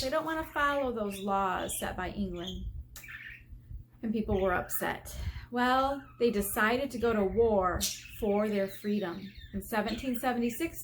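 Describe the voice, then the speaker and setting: A woman's voice: fairly high pitch, a bit bright, slight background noise, rough recording. An American woman, an elementary teacher, reading a children's book aloud and explaining it in simple, instructive terms.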